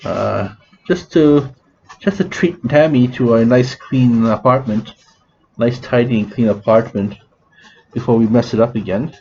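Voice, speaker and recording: A man's voice: mid-range voice, measured pace, warm tone, lo-fi sound. A man with a scratchy voice, rambling through a casual vlog with many restarts and fillers. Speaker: vlogger.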